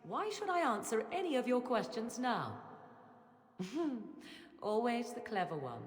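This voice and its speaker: Casting: guarded, imperious witch for fantasy game. Slow, dramatically varied delivery, archaic diction, cutting edge, roomy echo. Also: a little high, very animated. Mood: defiant, challenging. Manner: formal archaic phrasing, theatrical and cutting. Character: proud, guarded witch, sharp-tongued and ominous.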